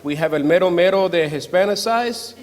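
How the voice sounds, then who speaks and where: Man moderating in an echoey hall. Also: high voice. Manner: halting, pausing between phrases. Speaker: panel moderator. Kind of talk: introducing a conference panel. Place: indoor event hall with microphone. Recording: echoey hall, long reverb.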